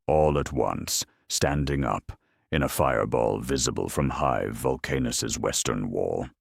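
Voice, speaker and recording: An extremely low-voiced man delivers dramatic scripted narration of a grim battle tale. His voice is thick with gravel and creak, and he takes his time, pausing heavily between phrases in a dry, close recording. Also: no echo. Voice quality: very deep gravelly growl. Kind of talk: dramatic scripted narration of a grimdark war story.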